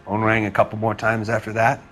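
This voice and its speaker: An actor playing a weary, bitter husband under police questioning who answers in a defensive, hesitant way. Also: male voice, on the low side, completely flat delivery, a little fast, fairly bright tone, faint music behind, lightly acted. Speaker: murder suspect, victim's husband.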